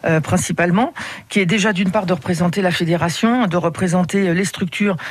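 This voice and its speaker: A middle-aged woman from the Paris region answering radio interview questions in a measured way, with frequent hesitations. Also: lowish voice, no room sound. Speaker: head of a regional riding committee, radio guest.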